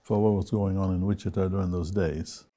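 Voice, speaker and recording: A man hosting a television interview, prompting his guest in deliberate, short phrases. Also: low voice, fairly even intonation, warm tone. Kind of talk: broadcast interview questioning. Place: broadcast interview studio.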